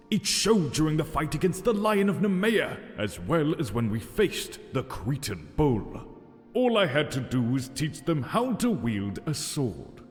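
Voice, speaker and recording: A man playing a strong, gentle demigod warrior in an audio roleplay, earnest, courteous and formal in his speech. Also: mid-range voice. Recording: long reverberant hall. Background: soft background music.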